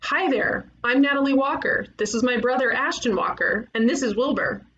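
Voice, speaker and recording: A woman playing a brash, eager young ghost detective, cheeky and emphatic, in an animated comedy table read. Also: a little high, moderate pace, lo-fi sound. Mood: friendly.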